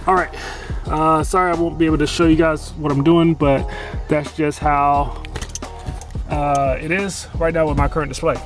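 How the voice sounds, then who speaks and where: A man's voice: a little high, fairly even intonation, normal speed, soft background music. A man narrating as he installs a radio in his truck, talking casually to the camera, rambling and correcting himself with lots of fillers. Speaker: DIY vlogger installing a CB radio. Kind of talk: casual how-to narration while working. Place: inside a pickup truck cab and garage.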